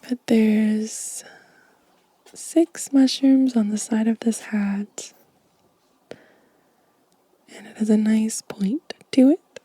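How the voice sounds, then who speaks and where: Slow, breathy whispering woman. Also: a little high, steady tone, no room sound. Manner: gentle, unhurried. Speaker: ASMR video creator. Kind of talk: soft-spoken whispered ASMR show and tell.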